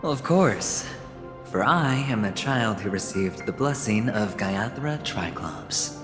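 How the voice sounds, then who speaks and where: An actor playing a weary, fatalistic young man in a video game, wistful and gently wry, with hushed phrase endings. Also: on the low side, lively intonation, takes it slowly. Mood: gently wry, nervous. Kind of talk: dramatic video game voice acting. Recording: long hall reverb, music underneath. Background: music.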